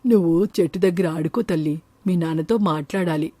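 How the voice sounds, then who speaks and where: A woman voicing a kind, practical village landowner woman in an animated moral story. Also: on the low side, a little fast, no room sound, pitched below the performer's own voice, lightly acted. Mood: kindly. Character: kind, practical village woman who owns a banana grove.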